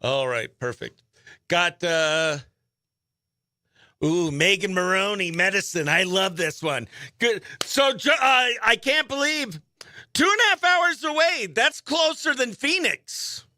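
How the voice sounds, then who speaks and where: Man, radio host, very bright forceful voice, crisp and clean, chatty, upbeat, dry studio sound. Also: high-pitched, lively intonation, slow pace, dry recording. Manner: upbeat, chatty, mutters to self while working. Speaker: morning radio show host. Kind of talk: behind-the-scenes radio chat.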